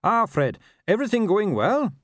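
An upright young police captain from an audiobook, played by a male narrator, speaks with brisk, formal courtesy. His voice sits fairly high and moves in lively swings, very earnest and dutiful. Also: no room sound, studio quality. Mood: bright, businesslike.

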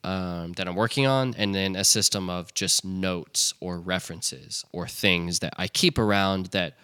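Young male podcast co-host, low and bright, rambling casual delivery. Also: a little slow, clear, resonant tone, no room sound, studio quality. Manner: rambling, many restarts and "like". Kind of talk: casual chat.

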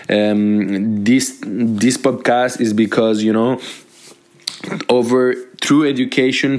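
Colombian podcast host, young man, lowish voice, rambling, upbeat, lots of false starts. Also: a little mellow. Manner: rambling, many restarts and fillers; upbeat, motivational. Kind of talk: casual solo podcast talk. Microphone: podcast microphone.

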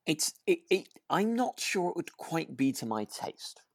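A British man co-hosting a podcast in casual chat, tentatively softening his opinions with little asides. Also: fairly high pitch, very animated, measured pace, vocal fry, no echo, studio quality. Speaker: podcast co-host, actor.

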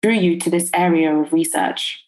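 A woman hosting an interview podcast, speaking in measured, considered questions. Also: on the low side, fairly even intonation, a little mellow. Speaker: podcast host.

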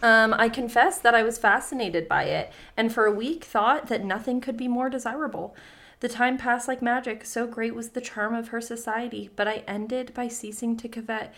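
A woman's voice: a little high, fairly bright tone. A young woman co-hosting a podcast, moving between casual, giggly, sweary banter and reading folktales aloud.

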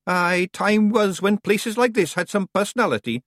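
A man's voice: high-pitched, normal speed, fairly bright tone, no echo, clean studio sound, voice pushed up. A rowdy little Scottish fairy man. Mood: brash cheery. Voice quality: broad and boisterous. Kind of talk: audiobook character voice.

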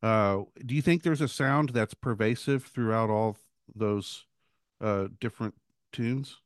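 A man's voice: on the low side, measured pace, dry recording, studio quality. Rambling man hosting an interview. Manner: rambling, stumbling questions with many restarts. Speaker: podcast host; works at a guitar shop. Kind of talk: casual interview chat.

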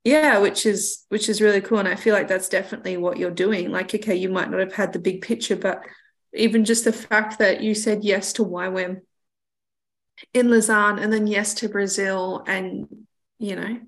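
A woman's voice: medium pitch, fairly even intonation, normal speed. A woman interviewer in a casual conversation about faith, speaking in an encouraging, affirming way.

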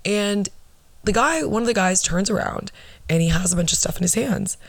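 Low-voiced young woman rambling. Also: animated, moderate pace, gravelly, no echo. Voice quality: low alto voice. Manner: rambling, profane, self-mocking. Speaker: podcast host. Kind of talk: casual storytelling monologue.

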